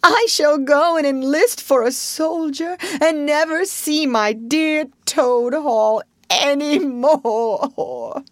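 Storybook toad, male, female reader, boastful and theatrical, high and very animated, close dry sound. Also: a little slow, no room sound. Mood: tearful, despairing. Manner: showy, exclamatory, rustic slips of grammar. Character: boastful excitable male toad, childishly dramatic.

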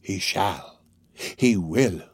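A man voicing a wily, quick-tempered old gang master in a storybook reading, with a drawn-out, coaxing delivery. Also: low voice, slightly rough, no echo, clean studio sound, heavily acted. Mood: gleeful. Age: older.

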